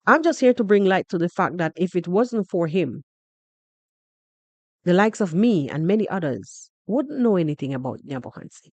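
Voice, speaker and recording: A woman with a Jamaican accent hosting a podcast, commenting in an animated, repetitive way. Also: lowish voice, moderate pace, no echo. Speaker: podcast host. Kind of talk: casual commentary.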